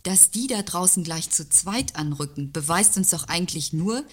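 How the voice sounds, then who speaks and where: A deferential executive secretary with a low voice, played by a woman in a satirical radio play. She speaks courteously and soothingly, with flattery and a quiet, knowing pride. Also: moderate pace, breathy edge. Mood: soothing, flattering. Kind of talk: German radio play acting.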